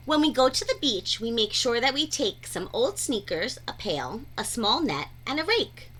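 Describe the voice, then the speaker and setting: A woman reading a rhyming children's picture book aloud in an expressive, deliberate, sing-song way. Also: fairly high pitch, a little hiss or hum. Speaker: read-aloud storyteller.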